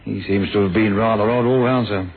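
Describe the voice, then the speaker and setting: A man's voice: on the low side, fairly even intonation, fairly quick, slightly rough, narrow, tinny audio. An actor playing a chatty, wry police sergeant in a radio drama, clipped and deferential to his superior. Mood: wry, dutiful. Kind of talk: 1970s radio drama acting. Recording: noisy, thin old radio recording.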